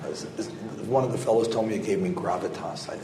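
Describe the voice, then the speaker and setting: A hoarse man with a cold speaks on a panel, his voice raspy and rather low. He is recorded in an echoey hotel conference room with clear background noise. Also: fast. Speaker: panelist, scholar.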